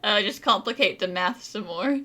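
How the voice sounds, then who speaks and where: A woman's voice: mid-range voice, lively intonation, normal speed, bright, forceful tone. A woman giving casual, teasing reaction commentary as she plays a video game. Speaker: gaming video host.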